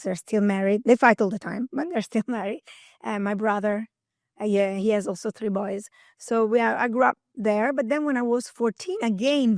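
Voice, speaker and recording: Energetic Italian-accented woman, dry close sound. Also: mid-range voice, no room sound. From Italy. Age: around 50. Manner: energetic, chatty, laughs often. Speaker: guest. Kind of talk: interview conversation.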